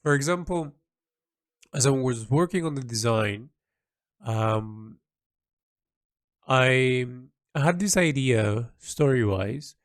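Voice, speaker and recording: A man recording an unscripted, reflective audio log, speaking in a halting, rambling way as he thinks aloud and repeats himself. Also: medium pitch, lively intonation, dry recording, studio quality. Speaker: audio log diarist, creative hobbyist.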